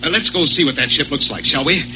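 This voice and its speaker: An actor playing a shifty, overly courteous social secretary in a 1940s radio serial, suave and hedging. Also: male voice, a little high, fast talker, lightly acted. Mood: uneasy, insistent. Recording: muffled 1940s radio recording with music.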